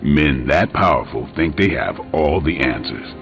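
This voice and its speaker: Super deep gruff hero, few words, video game, faint music. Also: male voice, expressive, a little slow, a bit bright, exaggerated voice. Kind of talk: video game character dialogue.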